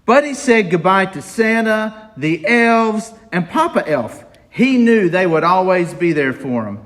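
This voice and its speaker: A man playing an excitable, childlike Christmas elf who reads a storybook to children with slow, theatrical delivery. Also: high-pitched, heavily acted. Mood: cheerful, earnest.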